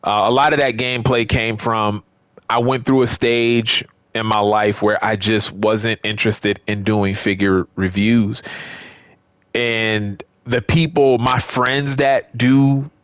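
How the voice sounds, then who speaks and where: A man's voice: fairly low pitch, level tone, slow pace, a bit bright, clear, resonant tone, no room sound, studio quality. A man talking casually and off the cuff to his channel's viewers, rambling conversationally and repeating phrases for emphasis. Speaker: YouTube host of a wrestling game and action figure channel. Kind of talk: casual unscripted chat to viewers.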